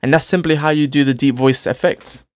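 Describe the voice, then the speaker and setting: A man's voice: on the high side, steady tone, brisk. A man hosting a music production tutorial, explaining steps informally and repeating phrases as he demonstrates. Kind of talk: casual software tutorial, step-by-step instruction.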